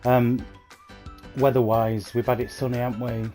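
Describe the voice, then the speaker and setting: A man's voice: medium pitch, little pitch movement, moderate pace, dark tone, soft background music. A man chatting enthusiastically to viewers by name as he streams a bus game, speaking into a headset mic. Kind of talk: casual livestream commentary. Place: at home playing on a console. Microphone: new gaming headset.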